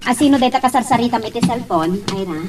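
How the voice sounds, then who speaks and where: A woman's voice: a little high, animated, quick pace, far from the mic, noisy background, natural voice. A woman playing a suspicious, aggrieved wife and mother in an audio romance drama.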